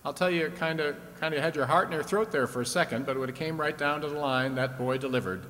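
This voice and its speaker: A man's voice: on the high side, fairly even intonation, fast, a bit bright, close to an everyday voice. A man playing a genial, talkative 1950s radio game show host with folksy showman's patter. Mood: chatty, intrigued. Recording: reverberant hall with faint noise.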